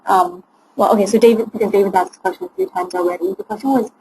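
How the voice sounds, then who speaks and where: A woman's voice: medium pitch, fairly even intonation, fast, a little mellow, slight background noise, rough recording. A woman relaying a participant's question during a webinar, hesitant and thinking aloud.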